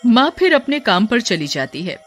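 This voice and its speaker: A woman's voice: fairly high pitch, very animated, normal speed, soft background music. A woman working as narrator, reading a scripted children's story.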